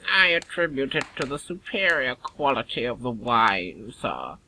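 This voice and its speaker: Man playing sharp-toned, deadpan English manservant. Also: medium pitch, full character voice, poor audio. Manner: formal, measured, deadpan. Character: deferential, deadpan English manservant, dryly formal. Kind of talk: audio play acting of Victorian comedy of manners.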